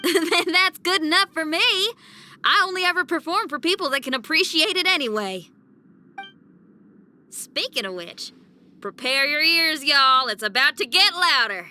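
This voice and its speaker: A woman's voice: high voice, animated, normal speed, sharp tone, a little hiss or hum, exaggerated voice. A woman playing a spirited, big-hearted young rock musician in a video game, folksy, punchy and upbeat. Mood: rousing. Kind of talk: video game cutscene voice acting.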